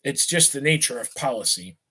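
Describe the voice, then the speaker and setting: A man's voice: mid-range voice, expressive, fairly quick, pushed and bright, husky. A man reading the lines of a distrustful British army sergeant from a storybook, in a muttering, suspicious way.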